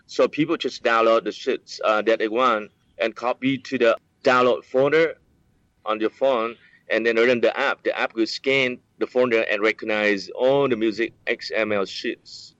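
A man's voice: mid-range voice, fairly even intonation, moderate pace, fairly bright tone, no room sound. English speaking guy, lots of uh and um, kinda muffled dry audio. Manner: hesitant, many fillers and restarts. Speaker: app developer, interviewee. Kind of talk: explaining, casual interview. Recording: slightly dull, lo-fi sound.

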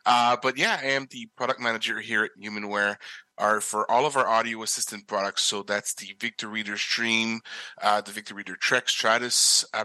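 Guest, male, Québécois French accent, French native, bright and lowish voice, dry close sound. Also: no echo. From Quebec. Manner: relaxed explanatory, with many "uh" fillers. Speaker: product manager guest. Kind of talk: casual interview talk.

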